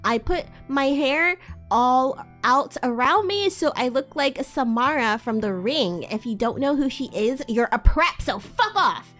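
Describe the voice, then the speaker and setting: A woman's voice: sits high, lively intonation, unhurried, a bit bright, soft background music. A woman streamer doing a playful, exaggerated dramatic reading, with sweary amused asides. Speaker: streamer, host of a live read-along.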